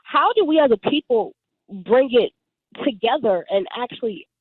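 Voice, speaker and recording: Over a thin, narrow phone connection, a woman in her twenties calls in to a show. Her voice sits a little high, with no room echo around it. She speaks casually and haltingly, feeling for her words. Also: animated, slightly rough. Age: 20s. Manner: hesitant, groping for words. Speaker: phone-in caller. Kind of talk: casual call-in conversation. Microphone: phone call. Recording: tinny narrow-band phone line.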